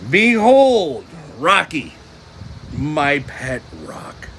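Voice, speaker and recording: Man as smug male cartoon sea creature, disdainful, sneering, boastful, storybook villainy, varied pitch. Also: big pitch swings, very slow, a bit bright, slight background noise, exaggerated voice.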